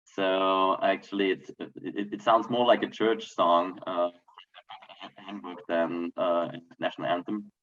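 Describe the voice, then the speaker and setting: A man's voice: low voice, fairly even intonation, unhurried, fairly bright tone. A man reporting back from a discussion group in an online seminar, with many hesitations and fillers. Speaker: workshop participant. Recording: dull lo-fi audio.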